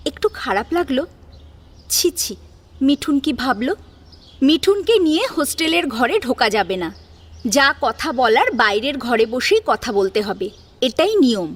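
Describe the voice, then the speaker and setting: A woman reading a dramatic audio story as its narrator. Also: high voice. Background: background music.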